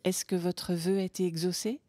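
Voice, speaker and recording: Female interpreter, low mellow husky voice, steady phrase-by-phrase delivery. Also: moderate pace. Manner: steady, plain delivery, sometimes softly whispered. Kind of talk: live consecutive interpretation.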